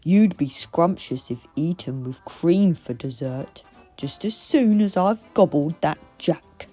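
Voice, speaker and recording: A woman voicing a grumpy, hungry male giant from a children's storybook, with slow, grumbling menace. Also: sits low, expressive, warm tone, slightly rough, soft background music, exaggerated voice. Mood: grudging. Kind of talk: storybook character voice.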